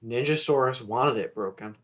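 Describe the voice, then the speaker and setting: A woman's voice: rumbling low, moderate pace. A woman playing a defiant, blunt young boy in a dramatic script reading. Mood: defiant, excited. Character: defiant young boy squabbling over a blanket and fort.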